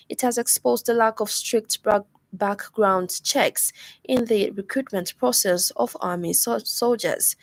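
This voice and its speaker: A woman with a Zambian accent reads a scripted radio news bulletin in a formal, measured way. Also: mid-range voice, dry recording. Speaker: radio newsreader. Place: radio studio.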